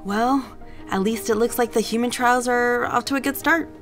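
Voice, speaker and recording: A woman's voice: fairly high pitch, normal speed, faint music behind, lightly acted. A woman playing a caring, anxious scientist mother, firm but supportive, in an audio drama. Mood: anxious relief. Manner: expressive, concerned.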